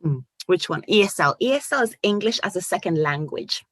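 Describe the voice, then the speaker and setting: Low-voiced ghanaian-raised woman, animated storyteller, dry close sound. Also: fairly quick, no room sound. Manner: animated storytelling, restarts and fillers. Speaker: guest, online course coach. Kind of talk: casual interview chat.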